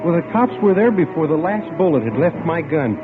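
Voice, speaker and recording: Earnest, jittery radio hero, wide-swinging voice. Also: male voice, a little high, sing-song, fairly quick, over loud music. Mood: wry. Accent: American. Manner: nervous, earnest, dramatic delivery. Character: earnest, jittery, lovestruck ordinary man narrating his misadventure. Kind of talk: mid-century American radio drama narration and acting. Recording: tinny vintage radio broadcast.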